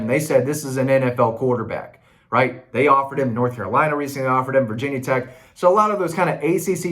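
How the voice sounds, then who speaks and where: A man's voice: medium pitch, a bit bright. A man with a mid-range voice delivers an informal sports update as a reporter. He talks straight to viewers in a casual, slangy way and states his opinions freely. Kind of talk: casual recruiting commentary to viewers.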